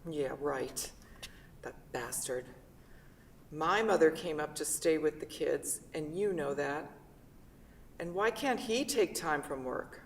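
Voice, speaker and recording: Lady reading bitter sick mom in a stage play, low voice, complaining, noisy echoey hall. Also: slow pace, long pauses. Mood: bitter, accusing. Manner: accusing, complaining. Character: bitter, ailing mother in a hospital bed. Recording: rumbly, echoey hall from far off.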